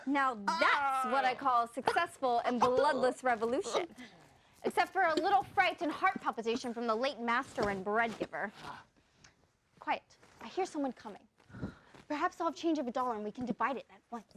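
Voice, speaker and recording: High, bright voice, very animated, brash rebel comedian, declamatory stage acting, some background noise. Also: female voice, moderate pace. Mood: smug. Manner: theatrical, declamatory.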